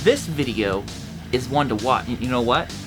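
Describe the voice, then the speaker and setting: A man's voice: mid-range voice, expressive, normal speed. A man teaching a casual guitar lesson, congested and nasal, counting fret numbers as he plays. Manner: explains while playing, counts fret numbers.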